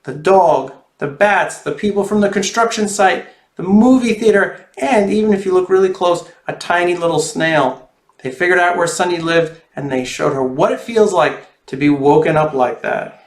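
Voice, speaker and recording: A man with a slightly high voice in a very roomy, echoey space. He reads a children's storybook expressively and speaks straight to the kids watching, like an author hosting story time. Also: moderate pace. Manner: expressive, addresses young viewers directly. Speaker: children's author reading aloud. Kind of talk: animated storybook read-aloud and friendly address to children.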